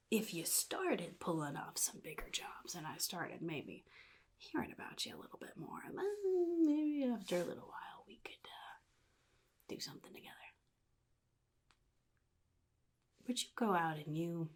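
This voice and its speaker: A woman playing a sly, chaotic supervillain in a soft-spoken ASMR roleplay, often dropping into a breathy whisper with a casual, menacing drawl. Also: fairly low pitch, sing-song, normal speed, exaggerated voice. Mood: teasing, aloof. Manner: casual drawl, offhand, slyly threatening.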